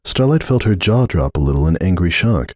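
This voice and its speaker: A man's voice: low voice, big pitch swings, fairly quick, darkish tone, dry recording, studio quality. A man giving a dramatic scripted narration of a story as its narrator.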